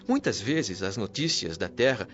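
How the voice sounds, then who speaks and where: A man's voice: lowish voice, animated, normal speed, lightly acted. A Brazilian man playing a wise, patient spirit guide in a radio drama, speaking in a formal, earnest, didactic way. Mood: instructive. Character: wise, patient male spirit mentor of a heavenly colony. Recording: dull lo-fi audio with music underneath.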